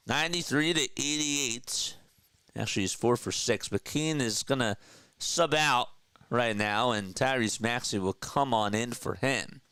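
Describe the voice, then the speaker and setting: A man with a stuffy nose calling live basketball play-by-play in an energetic, chatty way, talking to his viewers between plays. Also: mid-range voice, smooth, no room sound. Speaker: play-by-play caller.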